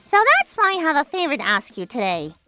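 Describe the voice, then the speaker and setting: A woman's voice: high-pitched, big pitch swings, fast talker, pushed and bright, faint music behind, full character voice. A woman voicing several young women friends in a manga dub, pleading and emotional. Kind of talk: anime-style manga dub acting.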